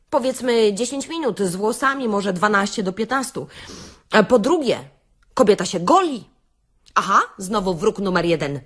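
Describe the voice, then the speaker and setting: A woman's voice: medium pitch, a bit bright. A woman playing a brash, sarcastic beautician in a satirical radio-play monologue, theatrical and full of rhetorical questions to her listeners. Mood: mock-lecturing. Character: brash, sarcastic beautician delivering a comic self-help rant. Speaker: actress reading a comic monologue.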